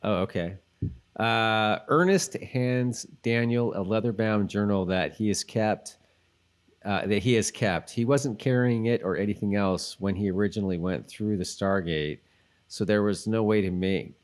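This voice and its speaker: A man co-hosting a podcast, casually chatting and reading notes in a laid-back, halting way with self-corrections. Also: on the low side, smooth. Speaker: podcast co-host.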